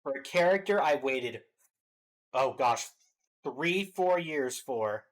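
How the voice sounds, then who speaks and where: A man's voice: medium pitch, lively intonation, slow, poor audio. A man giving casual, playful and enthusiastic commentary as a reaction show host.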